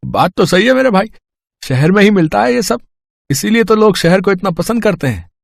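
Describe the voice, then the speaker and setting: A man's voice: sits high, animated, normal speed, creaky, no echo, studio quality, heavily acted. A man playing a naive, earnest young village student in a moral story, simple and hesitant in manner. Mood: cheerful. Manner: simple, wide-eyed, hesitant.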